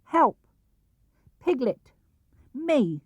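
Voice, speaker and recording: Tiny high-pitched anxious piglet. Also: male voice, big pitch swings, drawn-out words, breathy edge, no echo, clean studio sound, full character voice. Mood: pleading. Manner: plaintive, fretful. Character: small, anxious, timid young male piglet. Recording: muffled old cassette or vinyl transfer.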